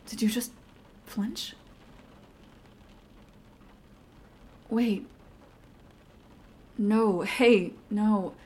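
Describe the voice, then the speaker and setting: A woman's voice: medium pitch, warm tone, a little hiss or hum. A woman playing a young girlfriend with a temper in a listener-directed audio roleplay, speaking emotionally and earnestly straight to the listener. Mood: alarmed.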